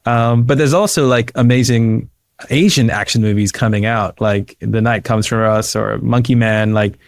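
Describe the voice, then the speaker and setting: A man's voice: medium pitch, steady tone, normal speed, gravelly, no echo, clean studio sound. A man in his early fifties from Sri Lanka talking casually as a podcast guest. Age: early 50s. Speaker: interview guest, founder. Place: living room at home, indoors. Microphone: close desk microphone on a video call.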